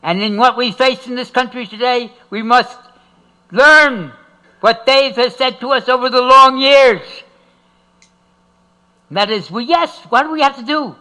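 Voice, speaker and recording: An older man giving an impassioned rally speech as an activist, emphatic, with drawn-out, rising repeated phrases. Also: very high voice, pushed and bright, low-quality recording. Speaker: activist speaker at a tribute.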